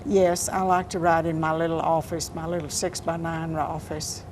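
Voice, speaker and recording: An older woman speaks in a soft, unhurried way, giving plain answers about her writing. Her voice sits a little low. A clear hum of background noise runs under the recording. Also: level tone. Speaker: interviewed poet. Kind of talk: casual interview answers. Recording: noisy background.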